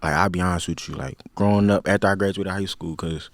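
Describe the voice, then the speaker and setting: A man in his twenties with a Philadelphia accent, a podcast guest talking in a relaxed, slang-heavy, rambling way. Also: low voice, fast talker, no room sound, studio quality. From Philadelphia, USA. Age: 20s. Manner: laid-back, slang-heavy, rambling with many fillers. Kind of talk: casual interview chat.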